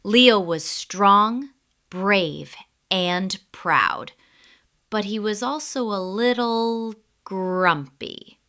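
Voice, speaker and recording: A woman storyteller reading a scripted children's story in an expressive, deliberate way. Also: mid-range voice, smooth.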